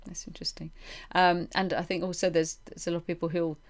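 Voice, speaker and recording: A woman's voice: fairly low pitch, little pitch movement, fast talker. A woman hosting a reflective interview, feeling her way through her phrases with repeated words and roundabout wording. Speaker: interviewer, podcast host.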